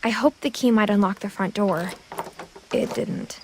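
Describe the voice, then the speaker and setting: A woman's voice: medium pitch, measured pace, a little mellow, close to an everyday voice. Woman playing a reflective teenage girl narrator, insects behind. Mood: pensive, subdued. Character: reflective seventeen-year-old girl returning to her family house. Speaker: narrator protagonist. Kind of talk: scripted first-person game narration. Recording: clear outdoor nature sounds with insects. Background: ambient insects and nature noise.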